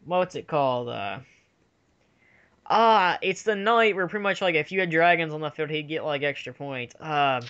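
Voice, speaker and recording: Higher-voiced man rambling casually, lo-fi sound. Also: normal speed, fairly bright tone, clean tone. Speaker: fan commentary co-host. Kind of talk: casual movie audio commentary chat. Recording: dull lo-fi home recording.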